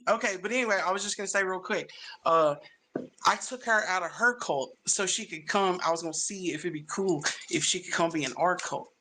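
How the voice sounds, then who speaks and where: Animated, slangy young woman comic. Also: lowish voice, brisk, slight background noise. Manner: animated, profane, slangy storytelling. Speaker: comedian guest. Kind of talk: casual podcast chat.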